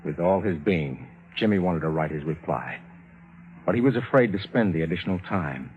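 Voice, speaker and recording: A man narrating a 1950s radio drama in a suspenseful, deliberate, dramatic style. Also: deep voice, animated, slight background noise. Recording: dull vintage radio recording, loud music behind.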